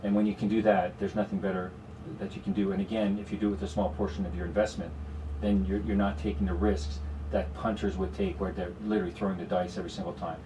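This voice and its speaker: A man's voice: sits low, level tone, fast, far from the mic, noisy background. A man with a Canadian accent, a guest strategist explaining investments in a radio studio conversation, with many "you know"s. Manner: explanatory, talks in long runs with frequent "you know".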